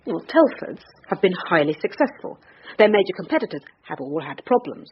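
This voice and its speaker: An actress playing a brisk, dogged British police detective in charge, reasoning aloud in a naturalistic radio drama. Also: female voice, fairly low pitch, very animated, husky, heavily acted. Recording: dull radio recording with faint noise.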